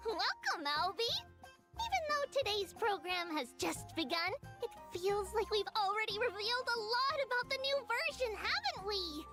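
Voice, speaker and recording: A cute, tiny guardian girl from a video game, bubbly and cheerful. The voice is extremely high and swings about in a sing-song way, with music clearly behind it. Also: big pitch swings, normal speed. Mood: excited, friendly. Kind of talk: video game character performance.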